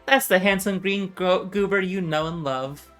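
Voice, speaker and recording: A woman's voice: lowish voice, moderate pace, a bit bright. A woman streaming a video game, reading its text aloud with casual asides. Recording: music behind the voice.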